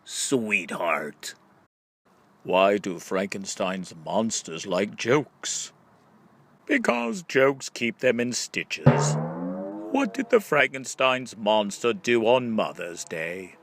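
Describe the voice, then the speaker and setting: A man's voice: fairly low pitch, sing-song, fairly bright tone, a little hiss or hum. A man reading a scripted book of riddles, setting up each question and drawing out the punchline. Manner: question-and-answer delivery with drawn-out punchlines. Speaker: audiobook reader.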